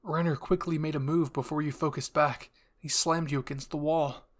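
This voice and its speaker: A man's voice: a little high, steady tone, normal speed. A man reading scripted fan fiction as a narrator and channel host.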